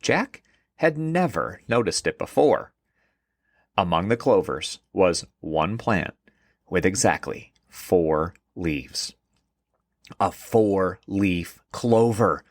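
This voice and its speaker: A man hosting and narrating a scripted children's story in an expressive storytelling manner. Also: deep voice, slow, dry recording, studio quality. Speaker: host and narrator.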